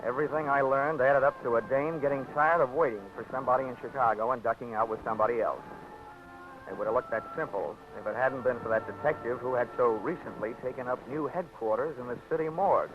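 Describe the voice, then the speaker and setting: An actor in hard-boiled 1940s radio style, playing a cocky, wisecracking insurance investigator who also narrates, with a breezy delivery. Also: male voice, medium pitch, quick pace, music in the background, close to an everyday voice. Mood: narrating. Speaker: narrator and lead. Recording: dull old radio broadcast with strong hiss.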